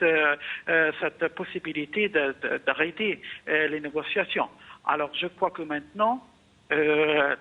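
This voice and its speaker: A man from Egypt comments as a guest analyst in a news interview. His voice sits fairly high for a man, and he speaks haltingly, repeating small words as he builds his points. The sound is narrow and phone-like.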